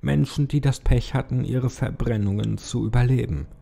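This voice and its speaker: Man playing a wry, gravelly Egyptian fugitive. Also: medium pitch, moderate pace, mellow, pitched above the performer's own voice. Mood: giddy, bitter. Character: bitter, drugged, disillusioned Egyptian fugitive man.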